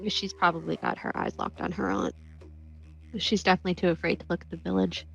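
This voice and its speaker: Creaky-voiced woman roleplaying. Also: on the low side, little pitch movement, fast talker, fairly bright tone, highs cut off. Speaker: tabletop game player. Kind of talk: casual in-character roleplay description.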